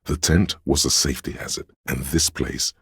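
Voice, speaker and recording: A man voicing a grave, all-knowing narrator in a detective video game, measured and solemn, with a gravelly, husky voice. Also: rumbling low, steady tone, a bit bright, no echo, studio quality, full character voice. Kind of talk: scripted dramatic narration.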